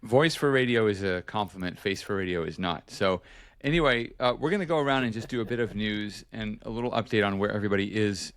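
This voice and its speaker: A man's voice: on the low side, fast talker, smooth. A man hosting a podcast as a journalist, giving casual news updates in a chatty, self-correcting way.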